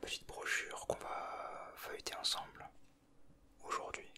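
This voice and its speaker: A man whispering softly in a casual ASMR style, with a breathy, hushed voice. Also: a little slow. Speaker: ASMR video creator. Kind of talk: whispered ASMR, chatting and reading aloud from a booklet.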